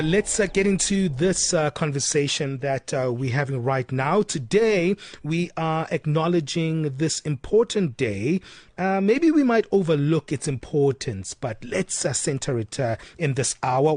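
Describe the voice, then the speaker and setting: A man from Africa hosting a radio talk show in a conversational way, pausing often with uhs. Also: a little high, a little mellow, dry recording. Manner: hesitant, frequent uhs. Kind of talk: conversational interview introduction. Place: radio studio.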